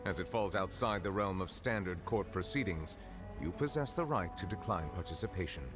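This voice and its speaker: An actor playing a dignified male chief justice in an anime game, formal, measured and authoritative. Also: low-pitched, lively intonation. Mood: stern formality. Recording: dramatic music behind.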